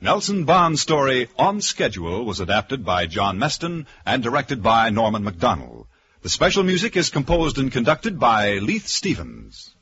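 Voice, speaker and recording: Male American announcer, forceful and emphatic, vintage radio promo read on a dull broadcast recording. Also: mid-range voice, big pitch swings, moderate pace. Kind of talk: mid-century radio announcing, scripted credits and promos. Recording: dull old radio broadcast, faint music.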